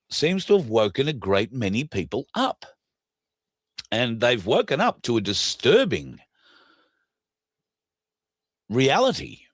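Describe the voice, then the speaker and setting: An Australian man with a scratchy, hoarse throat, hosting a casual solo talk show in a blunt, indignant way. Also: mid-range voice, no room sound, clean studio sound. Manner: blunt, indignant, frequent uh fillers. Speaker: podcast host.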